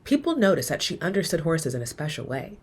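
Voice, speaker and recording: A woman reading a children's storybook aloud in an expressive, measured storytelling manner, letting her phrases end softly. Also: low-pitched, breathy edge. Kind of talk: storybook read-aloud, scripted narration.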